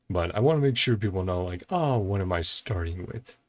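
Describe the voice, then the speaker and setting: A man's voice: low-pitched, expressive, normal speed. A man hosting a reaction series, chatting casually and thinking aloud in loose, informal asides. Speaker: host of a video reaction and ranking series.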